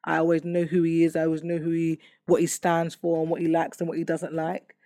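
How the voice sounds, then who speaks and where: A woman's voice: sits low, monotone, brisk, dry recording, studio quality. A woman giving a personal testimony, talking candidly and reflectively with many fillers and restarts. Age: adult. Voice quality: loud voice. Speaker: testimony speaker.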